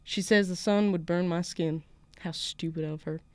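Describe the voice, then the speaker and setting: A woman's voice: on the low side, fairly even intonation, moderate pace, darkish tone, no room sound, close to an everyday voice. Woman voicing a defiant Southern schoolgirl, close and dry. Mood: wry, defiant. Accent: Southern US, put on. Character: defiant schoolgirl narrator from a small Southern town. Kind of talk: first-person literary fiction read aloud.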